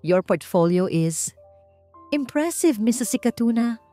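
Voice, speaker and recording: A Filipino woman voicing a cool, probing male architect in his thirties, in a measured, formal storybook manner. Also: fairly high pitch, animated, dark tone, pitched above the performer's own voice. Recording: music under the voice.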